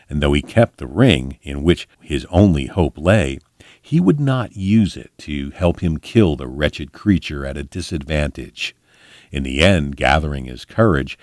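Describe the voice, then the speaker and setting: A man reading a fantasy book aloud in an expressive audiobook style, with measured, dramatic storyteller phrasing. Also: deep voice, no room sound, clean studio sound. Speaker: volunteer storybook reader.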